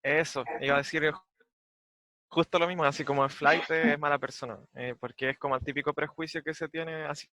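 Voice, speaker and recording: A man's voice: fairly high pitch, fairly even intonation, a little fast, pushed and bright, no room sound. A male student with a Chilean accent speaking informally in class discussion. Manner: informal, slangy.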